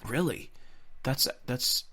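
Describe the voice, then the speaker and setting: A man playing an unsure, deferential hero in a fan-made sci-fi roleplay, halting and repeating his words. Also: sharp tone. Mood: hesitant, uneasy. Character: unsure, anxious, deferential hero serving a general.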